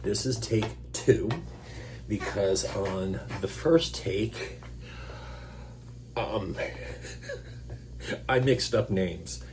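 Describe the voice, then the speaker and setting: A man hosting a scripture reading, stopping for casual, self-deprecating asides and clearing his throat through his allergies. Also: on the low side, drawn-out words, long pauses. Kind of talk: Bible reading with informal commentary. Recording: noisy recording with electrical hum.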